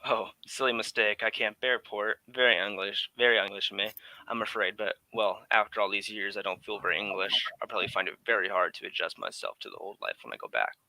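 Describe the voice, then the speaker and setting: A man's voice: lowish voice, fairly even intonation, fast, creaky, bright, forceful tone, no echo, exaggerated voice, poor audio. A man playing a breezy, cynical English aristocrat in exile, in a theatrical radio drama manner. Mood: casual, cynical. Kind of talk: 1940s radio drama acting.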